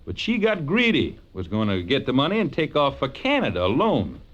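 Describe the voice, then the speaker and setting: An actor playing a menacing, cocky blackmailer and killer with a tough, sneering delivery in a 1940s radio crime drama. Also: male voice, mid-range voice, wildly swooping pitch, fairly quick, fairly bright tone. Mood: cold, sneering. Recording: dull vintage radio recording.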